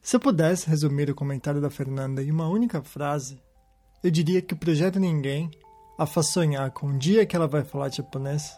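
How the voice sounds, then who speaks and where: Mellow-voiced male podcast narrator. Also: a little high, brisk, soft background music. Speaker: podcast host. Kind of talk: scripted narration. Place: lives in Japan.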